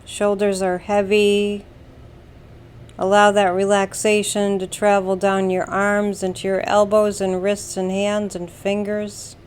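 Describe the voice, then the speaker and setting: A woman with an American accent leads a guided meditation, giving calm, soothing instructions in a deliberate way with long pauses. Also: medium pitch, a little hiss or hum. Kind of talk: slow guided meditation narration.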